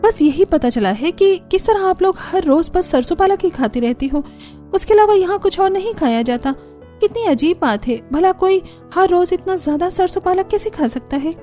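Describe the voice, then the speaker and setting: A woman's voice: very high voice, lively intonation, moderate pace, mellow, faint music behind, pitched above the performer's own voice. A woman voicing an anxious, bewildered young daughter-in-law in a cartoon story, with a plaintive, exclaiming manner. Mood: bewildered, complaining. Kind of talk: Hindi cartoon story character acting.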